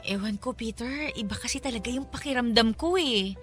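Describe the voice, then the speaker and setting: An actress plays a meek young Filipino woman in a radio drama. She speaks hesitantly and with deference, in a slightly high voice. Soft music runs underneath. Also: brisk, close to an everyday voice. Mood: anxious. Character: timid, uneasy, polite young single mother.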